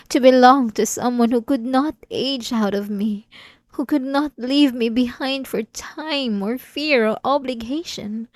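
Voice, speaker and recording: A woman playing an obsessive, possessive, centuries-old vampire in an ASMR roleplay, speaking intimately and deliberately with dramatic pauses. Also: on the high side, a little mellow, no echo, clean studio sound, natural voice. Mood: anguished, bitter.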